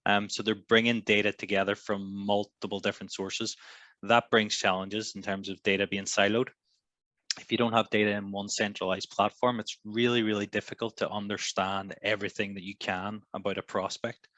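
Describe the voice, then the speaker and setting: A man on a webinar panel, explaining in a measured way with frequent ums. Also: fairly low pitch, flat delivery, pushed and bright, no echo.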